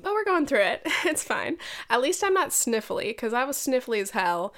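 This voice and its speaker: High, nasal young American woman, congested. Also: very animated, normal speed, fairly bright tone. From United States. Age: 20s. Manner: slangy, sweary, self-mocking. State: getting over a head cold. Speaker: podcast host. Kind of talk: casual chatty solo podcast storytelling.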